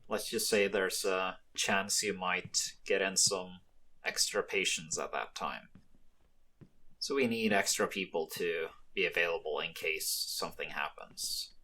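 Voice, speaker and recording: A man acting in an ASMR roleplay as a mafia boss's son, a soft-spoken, hesitant and protective young man with gentle, measured delivery. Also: low voice, exaggerated voice. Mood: measured, instructive.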